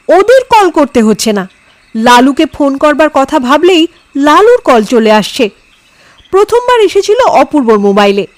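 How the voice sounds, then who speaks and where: A woman narrates a scripted ghost story. Her voice is high and swings widely in pitch as she dramatises the reading. The sound is close and dry, as on a studio-style mic. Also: dry recording.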